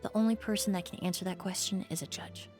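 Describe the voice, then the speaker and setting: An actress playing a composed, measured young woman in a game. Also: lowish voice, fairly even intonation, over music, natural voice. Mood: calm.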